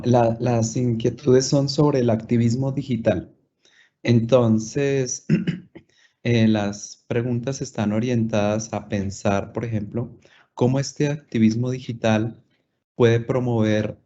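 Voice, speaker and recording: A man moderating an online talk, relaying audience questions to the speaker in a measured, rephrasing way. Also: medium pitch, dark tone. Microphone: video call. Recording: dull lo-fi call audio.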